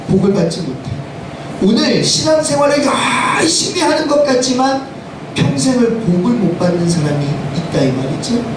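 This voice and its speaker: Male pastor for a church sermon: hoarse, insistent, questioning the congregation, heard from far off over clear noise. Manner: emphatic, asks the congregation rhetorical questions. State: hoarse from a cold. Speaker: senior pastor. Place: church sanctuary, preaching to congregation. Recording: echoey, distant, noisy room with rumble.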